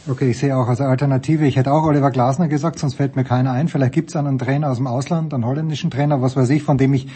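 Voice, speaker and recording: A man's voice: mid-range voice, fairly even intonation, warm tone, husky. A man with an Austrian accent hosting a casual sports talk show, joking and teasing his panel. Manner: jokey, teasing, quick moderator. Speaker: host.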